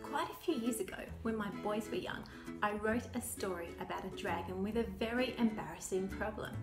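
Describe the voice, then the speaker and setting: A woman's voice: mid-range voice, a little fast. A woman author reading a children's storybook aloud with dramatic, playful expression. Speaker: author reading own book. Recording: roomy echo, music behind.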